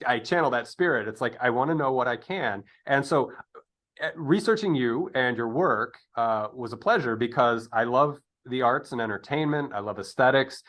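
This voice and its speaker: A man hosting an interview show in a conversational style, with halting, rambling delivery and chatty asides. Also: mid-range voice, lively intonation, a bit bright.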